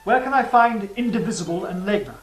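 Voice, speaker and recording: A woman playing a wily old serpent in an audio drama, with a measured, teasing way of talking. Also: mid-range voice, natural voice. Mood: sly, teasing. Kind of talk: stage-style audio drama acting. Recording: roomy, distant, music underneath.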